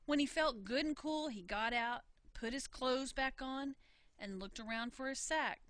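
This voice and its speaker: A woman reading a folksy tale in an animated storytelling style, full of country dialect. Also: high-pitched, a little slow, no room sound. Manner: folksy, dialect-laden telling. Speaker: storybook reader.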